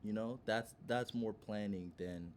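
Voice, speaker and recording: Low-voiced man over faint background noise. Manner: insistent, halting as he reworks his points. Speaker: podcast co-host. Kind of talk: casual debate.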